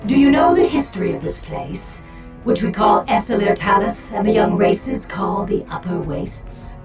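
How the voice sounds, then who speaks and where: A woman playing a solemn, proud alien war leader in a video game, with stately, measured, theatrical delivery. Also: mid-range voice, animated, close to an everyday voice. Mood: proud, resolute. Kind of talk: dramatic video game acting. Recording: echoing, distant, music behind.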